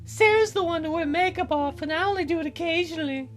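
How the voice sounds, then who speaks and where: A woman's voice: very high voice, quick pace, pitched above the performer's own voice. A woman voicing a grieving, haughty widowed novelist in a visual novel, with a dramatic, proper manner. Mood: composed, gracious.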